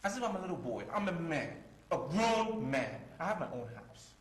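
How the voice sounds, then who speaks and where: An actor playing a proud, easily wounded young boyfriend in a screen drama, with an emphatic, repetitive way of talking. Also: male voice, fairly high pitch, big pitch swings, normal speed. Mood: indignant. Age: young adult. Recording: roomy echo, faint music underneath.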